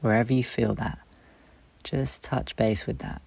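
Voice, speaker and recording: A man leading a guided meditation, speaking slowly and gently in short, soft phrases with long pauses. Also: fairly low pitch, creaky, husky, dry recording. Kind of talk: guided meditation, instructional and soothing.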